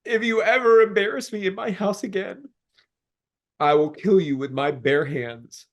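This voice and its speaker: A man's voice: high-pitched, moderate pace, highs cut off, voice pushed up. A man quoting a menacing violent uncle. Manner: threatening.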